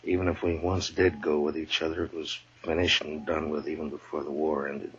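An actor playing a weary, gruff frontier marshal in a 1950s radio western, speaking in a laconic, hesitant way. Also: male voice, very deep voice, level tone, brisk, exaggerated voice. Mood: somber. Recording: muffled, noisy 1950s radio recording.

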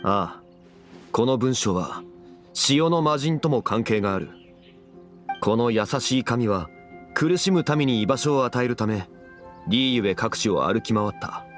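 An actor playing a calm, learned, dignified man who speaks in a measured, formal way in a game. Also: medium pitch, faint music behind, close to an everyday voice. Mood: solemn, explaining. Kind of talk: game voice acting, expository dialogue.

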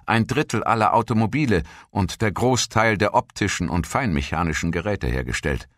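A man reads scripted documentary narration in a measured, formal way. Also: low-pitched, a bit bright, no echo, clean studio sound.

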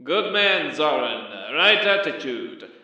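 A scheming cartoon crime boss , voiced by a man, short-tempered and sarcastic. The sharp voice comes menacingly from a distance through an echoing megaphone. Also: on the high side, very animated, slow pace, exaggerated voice. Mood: sly, menacing. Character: scheming, short-tempered villainous male tug fleet boss. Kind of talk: cartoon villain voice acting.

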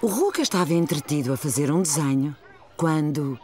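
A woman narrating a children's cartoon as a gentle storyteller, with a European Portuguese accent. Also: sits low, lively intonation, fairly quick, faint music behind. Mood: gentle storytelling.

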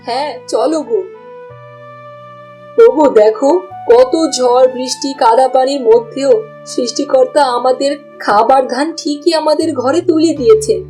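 A woman voicing a gentle, devout farmer's wife and mother in a cartoon, caring and pleading. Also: high voice, normal speed, faint music behind, close to an everyday voice. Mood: grateful. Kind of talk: cartoon voice acting.

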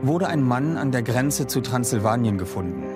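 A man playing an earnest young doctor who narrates a radio drama in a measured, serious way. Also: mid-range voice, lively intonation, close to an everyday voice. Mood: sober, measured. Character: earnest young male doctor recounting events. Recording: loud music behind the voice.

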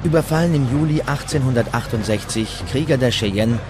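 A man's voice: medium pitch, a little fast. A man reading scripted documentary narration as the narrator. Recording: music bed behind the voice. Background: music.

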